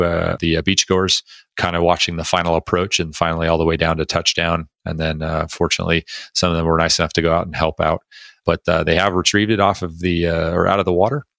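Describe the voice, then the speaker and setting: A man's voice: deep voice, vocal fry, a bit bright, no echo, clean studio sound. A man cohosting an aviation podcast, chatty and upbeat, with a few jokes between topics. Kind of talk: podcast monologue and interview.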